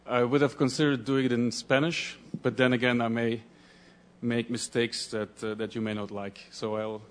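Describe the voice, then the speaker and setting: A man from Belgium, a Dutch speaker, giving a conference presentation in a halting way, often restarting as he searches for words. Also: mid-range voice, little pitch movement.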